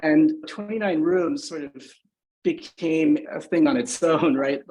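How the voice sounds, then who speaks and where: A man from Singapore, in his thirties, talks as a podcast guest in a fairly high voice. He speaks thoughtfully and keeps adding "you know" and "right?" as he goes. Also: a little slow, a little mellow, slight background noise, low-quality recording. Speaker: guest, agency founder. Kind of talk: podcast interview.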